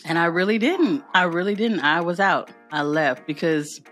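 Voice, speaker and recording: Woman guest, lower pitch, bright tone, soft music under, candid and colloquial. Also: moderate pace. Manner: candid, colloquial, laughing, preachy emphasis. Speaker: podcast guest, entrepreneur. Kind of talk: casual storytelling chat.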